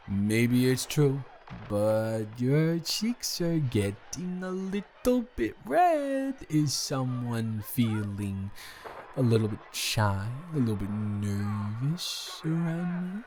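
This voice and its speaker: A man's voice: medium pitch, darkish tone. A man playing a drunk young roommate sincerely confessing love in an intimate roleplay audio drama, stammering and rambling as he speaks to the listener. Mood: teasing, tender. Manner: stammering, rambling, repeating words, tipsy.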